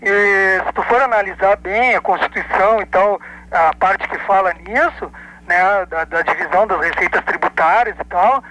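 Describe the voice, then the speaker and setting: A man's voice: high voice, normal speed, bright, forceful tone, poor audio. A man from southern Brazil with a Gaúcho accent, calling in to a radio show and speaking hesitantly with many fillers and restarts. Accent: Gaúcho Brazilian Portuguese. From Rio Grande do Sul, Brazil. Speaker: radio caller. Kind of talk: opinionated call-in chat.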